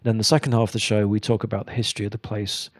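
A man with a fairly low voice, recorded close and dry, chats as an interview guest. He is thoughtful and a little halting, with a self-deprecating streak. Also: level tone, no room sound. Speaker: pun comedian being interviewed. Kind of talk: casual interview chat.

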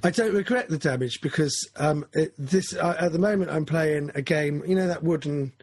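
A man's voice: on the high side, moderate pace, darkish tone, breathy edge, no echo. A man, talking through his goals in a casual, rambling, self-correcting chat. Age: 40s.

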